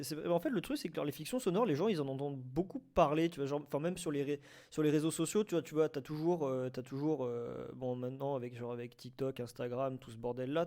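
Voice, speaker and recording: A man's voice: fairly high pitch, a little mellow. A man in a casual, slangy discussion, speaking hesitantly and rambling with repeated phrases. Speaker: audio fiction debate participant.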